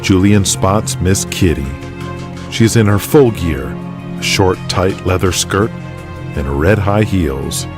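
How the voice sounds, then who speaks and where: A man's voice: low-pitched, animated, slow pace, music in the background. A man reading scripted dramatic narration for an audio drama, in the present tense.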